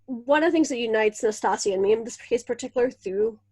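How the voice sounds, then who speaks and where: A woman's voice: on the high side, fast talker, warm tone, breathy edge. Chatty young woman co-hosting a podcast. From Venezuela. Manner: chatty, giggly, rambling. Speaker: podcast co-host. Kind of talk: casual interview chat.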